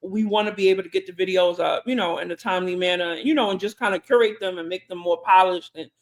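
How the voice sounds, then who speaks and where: A woman co-hosting a podcast, telling stories in an animated way and acting out both sides of conversations. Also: fairly low pitch, fast talker. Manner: animated, acts out conversations in quotes. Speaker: podcast co-host. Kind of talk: casual storytelling chat.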